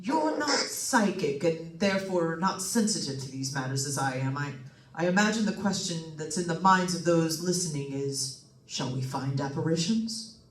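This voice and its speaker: A woman's voice: low voice, expressive, normal speed. A solemn psychic doctor played by a woman speaks with formal, portentous gravity in a radio suspense play. The voice sits back from the microphone in a roomy, echoing space. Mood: grave, formal. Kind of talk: old-time radio suspense drama acting. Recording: roomy, distant stage microphone pickup.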